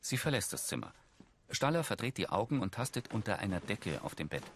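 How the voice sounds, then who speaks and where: Deep-voiced male audio description narrator. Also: big pitch swings, a little fast, noisy background. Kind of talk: scripted narration.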